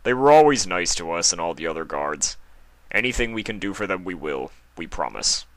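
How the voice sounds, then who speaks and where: Man as a low-voiced, loyal unicorn guard. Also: big pitch swings, fairly quick, a bit bright, dry recording, heavily acted, rough recording. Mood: loyal, assuring. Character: loyal, obliging male unicorn palace guard.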